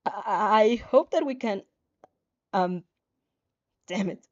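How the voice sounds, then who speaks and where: A woman's voice: mid-range voice, lively intonation, slow pace, dry recording. A woman voicing a polite, nervous teenage girl who is a new student, with hesitant delivery, in a fanfiction reading. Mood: flustered. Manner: hesitant, stammering. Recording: slightly muffled audio with music behind.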